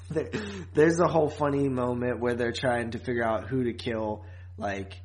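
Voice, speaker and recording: A man's voice: medium pitch, steady tone, measured pace. A man co-hosting a podcast, recapping a TV series in casual, rambling, informal talk. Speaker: podcast co-host.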